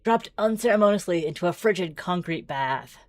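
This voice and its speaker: A woman's voice: on the low side, animated, a little fast, dry recording. A woman streaming a visual novel, moving between casual, rambling commentary and dramatic read-aloud narration.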